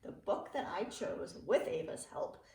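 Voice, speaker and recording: A middle-aged woman, a teacher reading a children's picture book aloud with expressive, clearly phrased delivery for young listeners. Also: a little slow. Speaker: early learning teacher reading to students. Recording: roomy, distant mic with faint noise.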